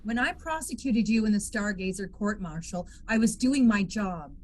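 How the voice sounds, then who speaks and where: A woman playing a brisk, teasing, combative military lawyer in an amateur sci-fi script reading. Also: medium pitch, animated, a little mellow, lightly acted. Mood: defensive. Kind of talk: amateur table read of TV script.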